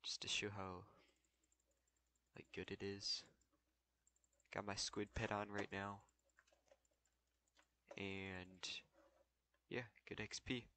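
A man's voice: on the low side, flat delivery, slow, pauses often, bright, forceful tone. A young man casually walking through a game tutorial while he plays, loose and full of fillers. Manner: loose, filler-heavy, thinking aloud while playing. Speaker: gaming tutorial creator. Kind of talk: casual gameplay walkthrough.

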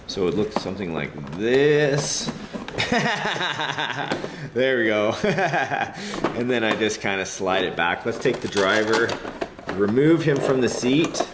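A man's voice: mid-range voice, sing-song, slow pace, noisy background. A man hosting a casual unboxing video, chatty and full of fillers, laughing at his own slips. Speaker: hobby video host. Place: workshop studio with tool cabinets. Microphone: handheld camera mic, host mostly off screen.

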